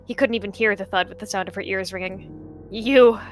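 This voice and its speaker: A woman narrating a dramatic roleplay story in an expressive, measured storytelling manner. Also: medium pitch, soft background music.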